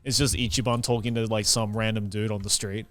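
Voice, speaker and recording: A man's voice: lowish voice, flat delivery, a little fast, fairly bright tone, faint music behind. A man co-hosting a casual video game podcast, talking emphatically and enthusiastically with some casual swearing. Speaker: podcast co-host. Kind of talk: casual podcast chat about video games.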